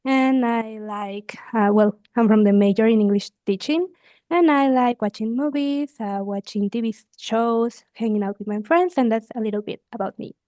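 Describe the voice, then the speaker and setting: A woman's voice: on the high side, moderate pace, warm tone, no room sound, clean studio sound. A young woman from Mexico with a Mexican accent, a student talking chattily with some hesitations in a speaking exam. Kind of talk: exam conversation.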